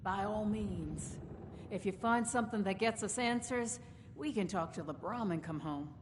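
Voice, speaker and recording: A woman acting a brisk, dismissive video game character with a curt, formal way of speaking. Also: lightly acted. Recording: echoing hall, rumbling game noise.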